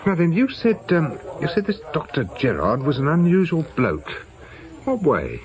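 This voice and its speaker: A man's voice: on the high side, huge pitch swings, normal speed, a crowd in the background. An actor playing a polite, probing man with an English accent in a radio drama, hesitant but persuasive. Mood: reassuring. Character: smooth-talking, probing man posing as crime writer. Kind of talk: naturalistic British radio drama acting.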